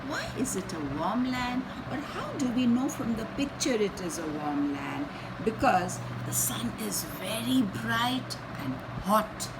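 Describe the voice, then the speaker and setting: A woman reading a picture book aloud to young children, expressively, pausing to ask them simple questions and explain things. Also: fairly high pitch, sing-song. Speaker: children's storyteller and channel host. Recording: clear background noise.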